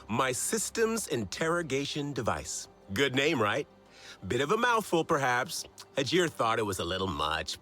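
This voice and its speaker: An eccentric, friendly inventor from a video game, with a bright, forward voice. He chatters proudly and with self-amusement, his intonation leaping all over. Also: medium pitch. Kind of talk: video game cutscene acting.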